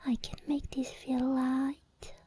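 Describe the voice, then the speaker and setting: A woman playing an obsessed, possessive sleep paralysis demon in an ASMR roleplay, whispering slowly and breathily with coaxing, teasing menace. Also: sits high, little pitch movement, dark tone, husky, heavily acted. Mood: controlling, teasing. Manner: slow, deliberate, coaxing and controlling.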